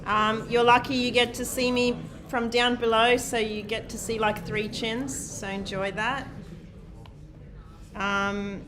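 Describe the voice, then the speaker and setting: A woman lecturing a large university class in a lecture hall. She speaks loudly and projects, chatty and self-deprecating, with many "um"s, sometimes drifting off the microphone. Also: a little high, little pitch movement, slow pace, a crowd in the background. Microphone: lecture microphone, sometimes off-mic while walking.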